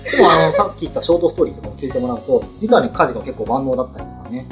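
A woman's voice: extremely low pitch, lively intonation, rapid-fire. A woman guest chatting casually on a group radio show in a wry, amused way. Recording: voice over background music.

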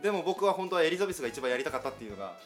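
A man's voice: fairly high pitch, very animated, racing. A man, a voice actor, bantering casually on a panel with a teasing but polite manner. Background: background music.

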